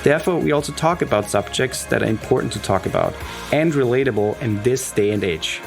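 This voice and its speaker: A man from Austria, co-host of a podcast, reading a scripted promo in an earnest, measured way. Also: mid-range voice, expressive, gravelly. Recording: music bed under the voice.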